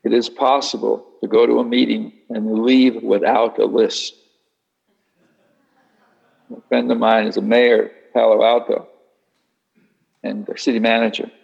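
Speaker taking audience questions: a gravelly, husky man who sounds unhurried and dryly wry, on a dull lo-fi recording. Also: mid-range voice, level tone, a little mellow. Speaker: speaker leading a Q&A session. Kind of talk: reflective talk answering an audience.

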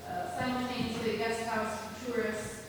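Flat-voiced woman far off in an echoey hall. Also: on the high side, moderate pace, background noise. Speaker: audience member.

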